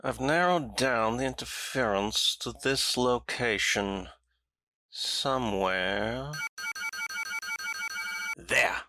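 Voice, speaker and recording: Guy voicing alien henchman, super sharp bright voice, halting n really slow, suspicious, audio drama. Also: mid-range voice, full character voice. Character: suspicious alien henchman aboard a space fortress.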